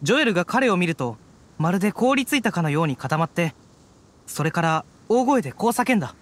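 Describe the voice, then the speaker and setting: A man's voice: sits high, big pitch swings, fairly quick. A man playing an earnest young adventurer in anime-style game dialogue, telling his story expressively. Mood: earnest recounting.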